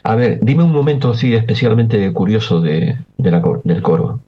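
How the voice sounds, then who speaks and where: Male radio host, easygoing interviewer with a lowish voice, muffled recording. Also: lively intonation, normal speed.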